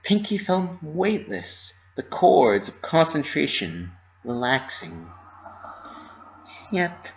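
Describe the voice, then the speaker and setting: A man with a mid-pitched voice narrates a story in a dramatic reading style. The sound is a little dull and lo-fi, like a home recording. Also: big pitch swings, slow, creaky, hardly any pauses, slight background noise.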